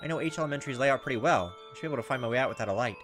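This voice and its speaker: Male gameplay host, average pitch, informal and jokey, narrating a visual novel over clear music. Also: lively intonation, rapid-fire, fairly bright tone. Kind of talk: reading visual novel text aloud with casual commentary.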